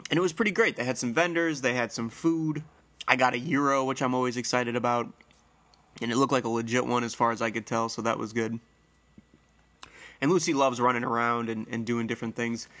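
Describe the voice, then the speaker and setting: A man in his thirties hosting a casual solo podcast, sounding congested, a little nasal and hoarse, telling stories in a rambling, friendly way. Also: mid-range voice, bright, forceful tone. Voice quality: slightly hoarse. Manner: rambling, friendly storytelling with fillers. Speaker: podcast host.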